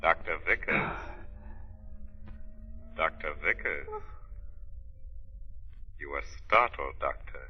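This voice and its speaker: A man's voice: rumbling low, lively intonation, slow pace, long pauses, slight background noise, full character voice. A man playing a mysterious, commanding unseen avenger in a dramatic, ominous 1930s radio style. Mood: ominous, taunting. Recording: muffled old 1930s radio recording.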